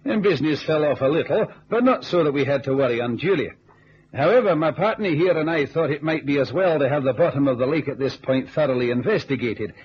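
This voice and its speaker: A man's voice: a little high, animated, quick pace, close to an everyday voice. An actor playing an earnest Scottish ex-navy businessman in an old-time radio drama, on a muffled recording with hiss. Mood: measured, earnest. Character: earnest Scottish ex-navy businessman recounting deadly lake dives.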